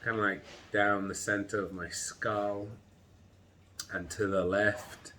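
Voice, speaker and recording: Slow, tired, congested man. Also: on the low side, level tone, sharp tone, a little hiss or hum. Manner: slow, halting, tired recounting. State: congested, groggy chest, sore throat. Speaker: vlogger. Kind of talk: personal health vlog.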